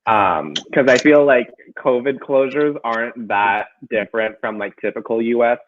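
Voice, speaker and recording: Earnest co-host on a tinny call line. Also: male voice, medium pitch, moderate pace. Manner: earnest, with many fillers. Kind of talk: casual video-call hosting. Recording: tinny phone-like video call audio.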